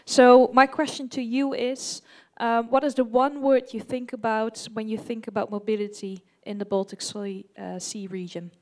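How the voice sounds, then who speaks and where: A woman moderating a live event in a conference room, briskly directing the audience. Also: fairly high pitch, flat delivery.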